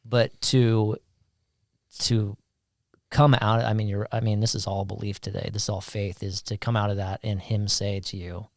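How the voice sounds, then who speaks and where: A man's voice: lowish voice, level tone, normal speed, no echo, clean studio sound. A man hosting a podcast, speaking in an encouraging, emphatic way and often repeating himself. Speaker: podcast host. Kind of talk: casual chat.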